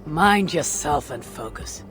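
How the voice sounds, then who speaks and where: A woman's voice: deep voice, lively intonation, slow pace, a bit bright, exaggerated voice. A proud Viking shieldmaiden, talks with a Scandinavian accent. She is terse and blunt, with dry scorn, as in a video game adventure scene. There is some music behind her voice. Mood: scornful, dry. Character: proud, curt Viking woman warrior and clan protector. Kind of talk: video game cutscene acting.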